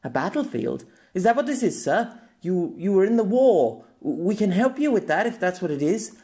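Man voicing a high-pitched, placating police officer. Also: sing-song, moderate pace, warm tone. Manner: hesitant, coaxing.

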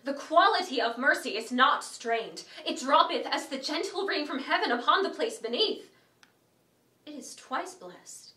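A woman playing a solemn advocate pleading for mercy in a formal, measured classical stage monologue. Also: high-pitched, expressive. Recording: echoey room, distant microphone.

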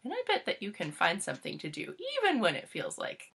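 A woman's voice: fairly low pitch, wildly swooping pitch, brisk, gravelly, fairly bright tone, husky. A middle-aged woman, a preschool teacher, reading a picture book aloud to young children from a room at home.

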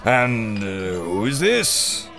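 An actor playing a pompous, snobbish English lord in an audio adventure drama, with theatrical, drawn-out delivery. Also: male voice, on the low side, big pitch swings, creaky, fairly bright tone, soft background music, exaggerated voice. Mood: effusive.

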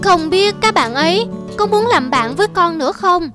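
A woman voicing a playful, careless young leopard cub in a children's cartoon, childlike and expressive. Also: extremely high pitch, moderate pace, over music. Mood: eager.

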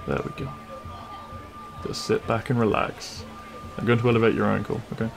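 A man playing a cheeky, kind-hearted bandit prince in an intimate audio roleplay, with a gravelly voice and a teasing, reassuring manner. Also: lowish voice, normal speed, soft background music. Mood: caring.

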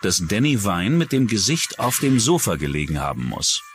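A man's voice: on the low side, big pitch swings, moderate pace, noisy background. A man delivering scripted true-crime narration as a documentary narrator.

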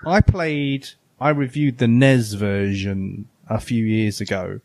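A man's voice: fairly low pitch, fairly even intonation, slow, darkish tone, no echo. A man co-hosting a podcast, chatting casually and giving opinions with emphasis. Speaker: podcast co-host. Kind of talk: casual retro gaming chat.

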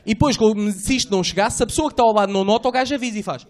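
Animated comic with a high voice. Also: male voice, racing. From Portugal. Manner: animated, slangy, acts out voices and sounds. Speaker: stand-up comedian. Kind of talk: stand-up comedy set. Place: small club stage, indoors. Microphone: handheld mic.

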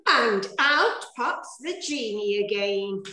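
A woman's voice: fairly high pitch, lively intonation, slow pace, bright, forceful tone, slight background noise. A woman reading scripted, rhyming pantomime narration as the fairy storyteller, recorded on a video call. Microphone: computer mic on video call. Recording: echoey room on video call.

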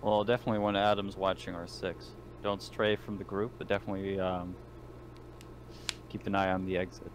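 A man's voice: sits low, level tone, fairly quick. A man talking casually as a player in a tabletop game. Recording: clearly noisy voice-chat recording.

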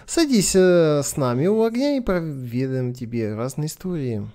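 A man's voice: a little high, expressive, normal speed, mellow. A man voicing a proud, vengeful warrior queen of a fairy tale, speaking in formal, stately phrases, with game music behind. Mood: solemn.

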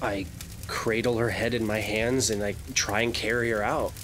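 A man playing a tabletop roleplaying game, speaking casually in a nervous, halting, animated way. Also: on the low side, slight background noise.

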